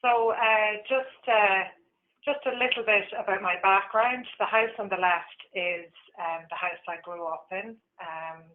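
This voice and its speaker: A woman's voice: medium pitch, unhurried. Irish woman presenting over a tinny line. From Ireland. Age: 40s. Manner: warm, hesitant with frequent ums. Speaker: tour operator presenting. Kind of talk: webinar presentation.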